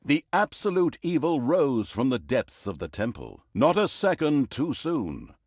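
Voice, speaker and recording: Sardonic fantasy game narrator, super swoopy dramatic delivery, very dry close sound. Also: male voice, mid-range voice, very animated, a little slow, darkish tone, no room sound. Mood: wry, dramatic. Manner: theatrical, sardonic storytelling. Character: wry, mocking male storyteller of a dark fantasy comedy.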